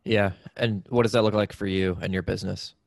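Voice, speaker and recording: Gravelly, low-voiced male interviewer. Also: steady tone, fairly quick, no room sound. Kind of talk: casual interview questioning.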